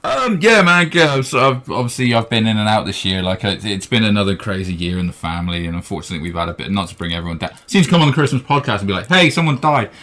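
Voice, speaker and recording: A man's voice: lowish voice, expressive, quick pace, hardly any pauses, bright, forceful tone. A British man co-hosting a casual podcast chat, laughing often and swearing now and then. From Britain. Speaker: podcast co-host. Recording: poor audio settings.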